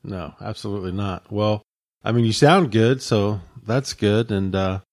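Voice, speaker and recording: Low-voiced earnest podcast co-host. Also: male voice, a little mellow, dry recording, studio quality. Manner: earnest and supportive, slow deliberate phrasing. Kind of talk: casual podcast chat.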